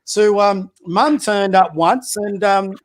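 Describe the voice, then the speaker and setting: High-voiced blunt man. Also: slow pace. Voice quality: high-pitched voice. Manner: blunt, opinionated, full of rhetorical questions and hesitant restarts. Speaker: community basketball coach, podcast guest. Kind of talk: casual political chat.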